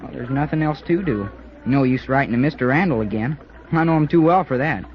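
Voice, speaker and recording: An actor playing an earnest, determined schoolboy cadet in 1940s radio style, with boyish, folksy slang. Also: male voice, mid-range voice, a little fast, natural voice. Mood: glum, downcast. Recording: noisy, dull old radio recording.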